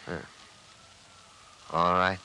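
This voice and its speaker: An actor playing a calm, practical middle-aged father in a 1950s radio drama. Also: low voice, extremely slow, full character voice. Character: calm, practical father protecting his family. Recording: vintage radio recording, faint noise.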